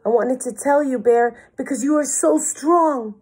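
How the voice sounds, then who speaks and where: A woman voicing a small, worried, excitable pig in a children's storybook, exclaiming urgently. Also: high voice, a little slow, breathy edge, pitched above the performer's own voice.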